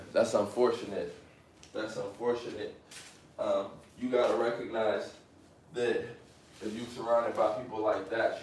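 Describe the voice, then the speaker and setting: A young man in his late twenties, chatting on a live stream with a low voice. He keeps coming back to "you know what I mean" and says his encouraging lines more than once. The room around him is noticeably echoey. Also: slight background noise. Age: 20s. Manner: repeats phrases, motivational, fillers like "you know what I'm saying". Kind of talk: casual live stream chat.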